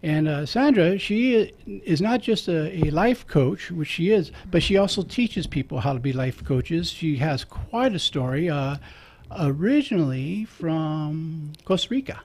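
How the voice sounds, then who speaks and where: A man's voice: a little high, animated, a little slow, darkish tone. A man, a priest, hosting a radio talk show in a casual, jokey way, chuckling between remarks.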